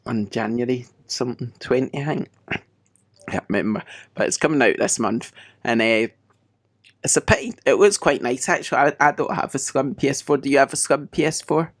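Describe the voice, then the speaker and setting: A man with a head cold, his voice croaky, chatting loosely as a podcast host. He laughs at his own slip-ups and keeps things light. The sound is dry and close, with little room around it. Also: mid-range voice, brisk, dry recording. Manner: chatty, self-mocking, laughs often. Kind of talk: casual chat.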